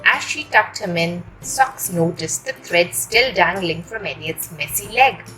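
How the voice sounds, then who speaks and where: A woman reading a children's story aloud in an expressive, deliberate storytelling manner. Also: fairly low pitch, soft background music, rough recording. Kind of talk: animated storytelling for young children.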